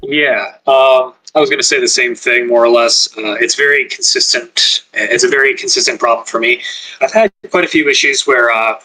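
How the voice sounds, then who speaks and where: Husky, forceful man, hesitant podcast talk. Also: medium pitch, low-quality recording. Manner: hesitant, repeats words. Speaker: script writer. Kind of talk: casual podcast chat.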